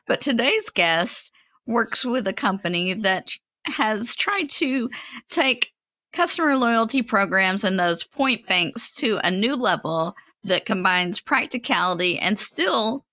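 Bright-voiced woman podcast host. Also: medium pitch, dry recording. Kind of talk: podcast intro and questions.

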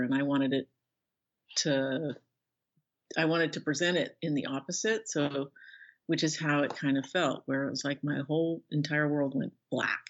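An older woman speaking as an interview guest, thoughtful and conversational, pausing as she searches for words. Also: extremely low pitch, husky. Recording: slightly dull, band-limited audio.

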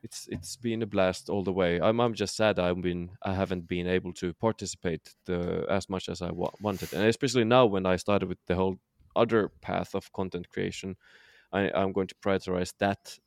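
A man's voice: low voice, fairly even intonation, normal speed, no room sound, clean studio sound. A man co-hosting a podcast in casual chat, hesitant and often restarting his sentences. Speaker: podcast co-host.

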